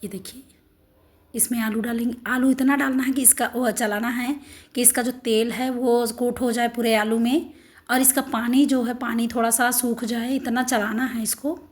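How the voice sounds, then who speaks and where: A middle-aged woman with a hoarse, sore throat, walking through a home recipe step by step in a homely, instructive way. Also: fairly high pitch, fairly even intonation, normal speed. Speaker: cooking channel host. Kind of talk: home cooking demonstration.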